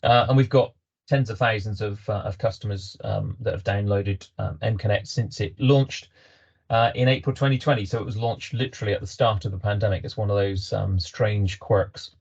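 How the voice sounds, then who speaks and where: British male webinar host, halting delivery full of fillers, muffled call audio. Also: fairly low pitch, steady tone, creaky, a little mellow, breathy edge. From Britain. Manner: hesitant, many ums and restarts. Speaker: webinar host, company representative. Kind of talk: business webinar presentation.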